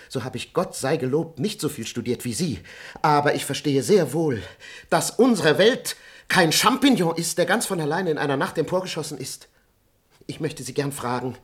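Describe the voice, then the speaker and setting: An actor playing a pious, talkative manservant who argues earnestly like a would-be scholar in a German radio comedy. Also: male voice, on the high side, close to an everyday voice. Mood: disapproving. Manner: earnest, argumentative, pseudo-learned rambling. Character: talkative, pious male servant disguised in doctor's robe.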